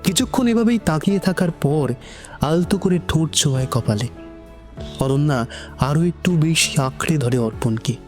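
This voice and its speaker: Higher-pitched male story narrator. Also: lively intonation, moderate pace, a little mellow, faint music behind. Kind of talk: scripted emotional romantic narration.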